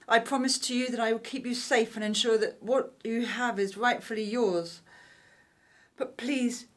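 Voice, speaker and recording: A woman's voice: a little high, a bit bright. A woman playing an anxious, devoted queen and mother of ancient Egypt in an audio drama, speaking solemnly, tenderly and pleadingly.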